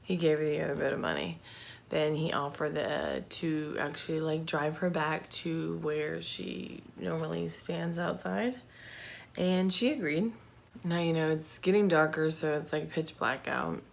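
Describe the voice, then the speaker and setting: A woman telling a true crime story in a casual, informal, slangy and halting way. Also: sits low. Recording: clear background noise.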